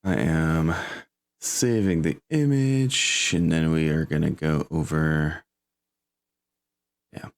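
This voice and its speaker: A man's voice: extremely low pitch, slow, creaky, pauses often, clear, resonant tone. A man hosting as game master, chatting casually and informally before an online tabletop game.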